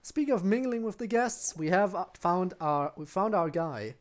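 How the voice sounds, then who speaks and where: A man's voice: sits high, animated, normal speed. A man giving casual, rambling live game commentary, musing aloud to his viewers. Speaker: game streamer.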